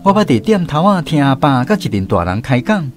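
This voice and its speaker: A man's voice: fairly high pitch, big pitch swings, a little fast, soft background music. A man with a Taiwanese accent narrating a scripted first-person story for a radio drama.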